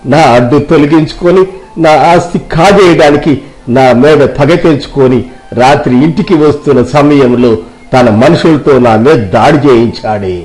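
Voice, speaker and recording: A man's voice: fairly high pitch, moderate pace, a little mellow, lightly acted, low-quality recording. A man voicing a chastened, wealthy moneylender and husband in a moral story, speaking in a solemn, confessional manner. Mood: remorseful.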